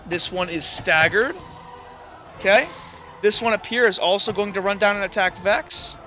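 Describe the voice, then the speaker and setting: High, bright-voiced young man running a game. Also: normal speed. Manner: loose, rambling narration with frequent fillers. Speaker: game master. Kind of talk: narrating a tabletop game. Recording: slightly muffled, dull audio. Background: faint background music.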